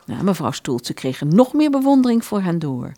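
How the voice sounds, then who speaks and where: A woman narrating a literary radio play from a script in an expressive storytelling manner. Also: lowish voice, normal speed, no echo.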